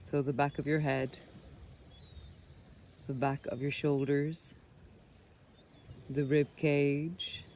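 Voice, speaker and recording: A man leading a guided body scan meditation, speaking gently and unhurriedly with pauses between phrases. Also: on the high side, flat delivery. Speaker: meditation guide, breath coach. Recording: clear background noise of sea sounds. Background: sea sounds.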